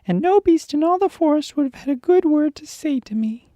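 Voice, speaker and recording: A lion who rules a forest and talks in a thin, strained squeak, pitched far above a natural voice. The storybook reading has a dry, close sound. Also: male voice, animated, brisk, dark tone, no room sound. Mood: wistful.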